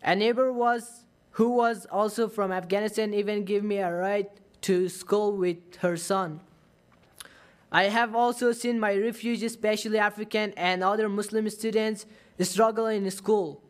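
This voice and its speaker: A teenage boy with a high voice reads his public comment from a page, speaking steadily and softly into a podium microphone. He grew up in Turkey, and Dari is his first language. He is speaking in a large council chamber. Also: flat delivery, unhurried, smooth. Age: teens. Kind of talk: reading a prepared public comment.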